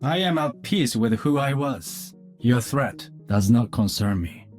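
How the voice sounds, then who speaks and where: Calm, measured cyborg ninja played by an actor. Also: male voice, mid-range voice, soft background music, natural voice. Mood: serene. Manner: measured, formal.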